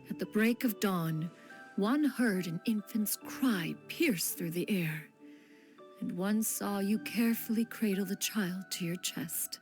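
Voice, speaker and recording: A woman's voice: mid-range voice, a little mellow. An actress playing a proud, ancient and wise female crane adeptus in a video game, speaking in lofty, archaic, formal language over music. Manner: archaic, lofty diction, refers to herself as one. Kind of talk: scripted game dialogue, storytelling.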